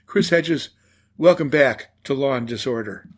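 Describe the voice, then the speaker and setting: A man hosting a talk show, reading a measured opening and introducing his guest. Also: mid-range voice, lively intonation, slightly rough. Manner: measured, read-aloud delivery. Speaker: radio show host. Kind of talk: scripted commentary and interview intro.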